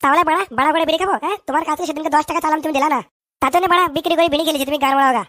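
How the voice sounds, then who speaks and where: An actor performs a boastful, hot-headed son in a comic cartoon. The voice is high and very fast, excitable and full of repeated bluster. It is recorded very dry. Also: dry recording. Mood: indignant. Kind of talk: comic dialect cartoon dubbing.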